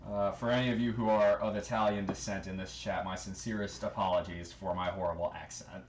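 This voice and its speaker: A man's voice: deep voice, little pitch movement, brisk, slight background noise. A man chatting loosely to his stream chat while he cooks in his kitchen, swearing now and then and counting numbers aloud. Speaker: streamer cooking on a livestream. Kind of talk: casual live cooking chat. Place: home kitchen, indoors. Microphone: handheld phone camera.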